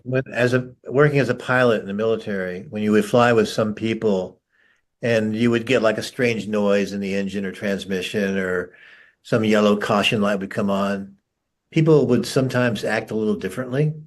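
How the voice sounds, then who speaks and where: A middle-aged man telling anecdotes in a casual conversation. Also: lowish voice. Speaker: conversation participant and former military pilot.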